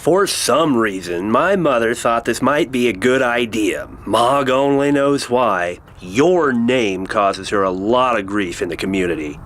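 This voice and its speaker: A man playing an earnest, devout young alien nephew, barely adult, speaking in a measured way in an audio drama. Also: medium pitch, animated, fairly bright tone. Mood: earnest, reserved.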